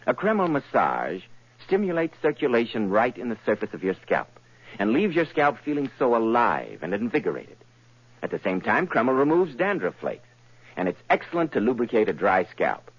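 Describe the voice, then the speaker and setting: A man's voice: medium pitch, big pitch swings, moderate pace, husky. A man working as a radio announcer, giving a polished, emphatic sales read in a 1940s style. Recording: muffled old radio recording.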